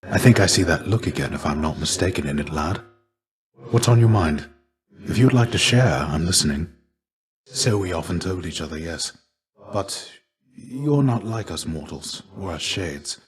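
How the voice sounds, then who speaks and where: A man voicing the shade of a legendary warrior in a video game, a gentle mentor who speaks in measured, formal, old-fashioned phrases. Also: low voice, expressive. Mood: earnest, reassuring. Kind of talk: scripted game dialogue.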